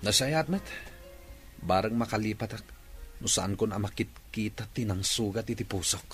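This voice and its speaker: A man's voice: lowish voice, moderate pace, fairly bright tone, faint music behind, close to an everyday voice. An actor playing an earnest, lovelorn young man in an Ilocano radio drama, with melodramatic delivery. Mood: heartbroken.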